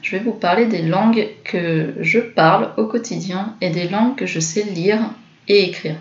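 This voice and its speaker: A French woman in her thirties introducing herself casually to camera, unhurried and pausing often with hesitations. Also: mid-range voice, echoey room, muffled recording. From France. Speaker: SEO consultant and vlogger.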